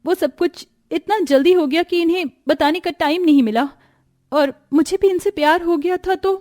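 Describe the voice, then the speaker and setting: A woman's voice: very high-pitched, normal speed, a little mellow, no room sound, studio quality, voice pushed up. A woman voicing a timid, nervous young bride in a dramatised moral story, meek and polite toward her elders. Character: timid, eager-to-please young bride from poor family.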